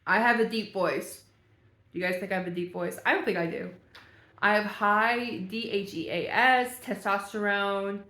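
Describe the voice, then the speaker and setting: Young woman, chatty vlogger, thinks out loud, self-amused, mid-pitched voice, roomy echo. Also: fairly even intonation, normal speed. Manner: chatty, thinks aloud, laughs at herself. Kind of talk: casual talk while reading a quiz aloud.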